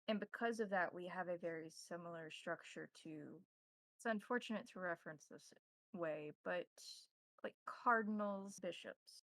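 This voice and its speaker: A woman playing a courteous, cryptic vampire priest and advisor, speaking formally in measured, halting phrases in a tabletop roleplaying game. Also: fairly low pitch, fairly bright tone, no echo, close to an everyday voice, poor audio. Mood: solemn, explaining.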